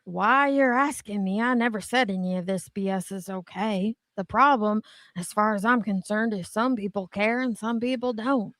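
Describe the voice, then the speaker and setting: A woman's voice: mid-range voice, moderate pace, fairly bright tone, no echo. A woman chatting casually as a co-host, blunt and gossipy, swearing freely and reading comments out dramatically. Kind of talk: casual chat with dramatic read-outs.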